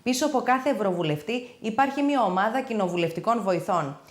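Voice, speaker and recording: Female newsreader, crisp and quick, roomy studio sound. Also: medium pitch, lively intonation. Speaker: news presenter. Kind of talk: news reading.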